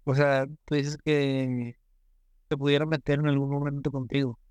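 A man's voice: medium pitch, level tone, normal speed, a little mellow, smooth, no room sound. A young man with a Monterrey accent, co-hosting a casual podcast and joking in short slangy remarks. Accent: northern Mexican (Monterrey). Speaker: podcast co-host. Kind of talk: casual chat.

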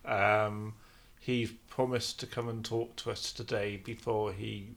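Gravelly man hosting a podcast. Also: on the low side, little pitch movement, a bit bright. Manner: laughs often, halting. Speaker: podcast host. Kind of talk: casual podcast chat.

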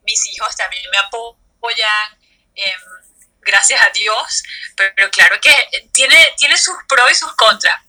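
A woman from Venezuela, an entrepreneur, answering interview questions in a soft, hesitant way, searching for words. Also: mid-range voice, unhurried, low-quality recording. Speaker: guest entrepreneur.

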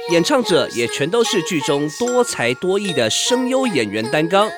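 High-pitched, animated Taiwanese Mandarin male narrator. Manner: theatrical, expressive storytelling. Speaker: radio drama host and narrator. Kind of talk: dramatic scripted narration.

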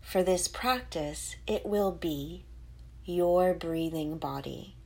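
Woman doing guided meditation, really slow and soothing, slightly low voice, short phrases w pauses. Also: gravelly, a little mellow, slightly rough. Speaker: meditation guide and podcast host.

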